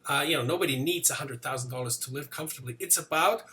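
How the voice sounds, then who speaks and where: A man's voice: on the high side, fast talker, sharp tone. A man in his forties from Switzerland with a slight Swiss accent, giving a casual motivational talk to camera, full of fillers and restarts. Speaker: business coach.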